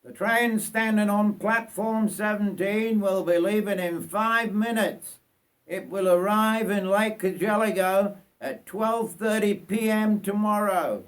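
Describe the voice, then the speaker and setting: A man voicing a railway station announcer in a storybook, calling departures formally and deliberately. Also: sits high, pitched above the performer's own voice.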